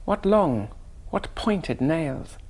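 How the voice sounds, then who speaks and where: Young English traveller, polite, hesitant, wondering, very expressive intonation, radio drama. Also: male voice, fairly high pitch, very slow, breathy edge, heavily acted. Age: young adult. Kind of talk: BBC radio drama acting and first-person narration.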